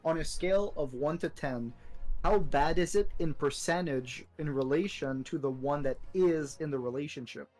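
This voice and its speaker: A man's voice: on the high side, moderate pace, darkish tone, faint music behind, low-quality recording. A young man bantering casually with friends as a live stream co-host, swearing and teasing. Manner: profane, teasing.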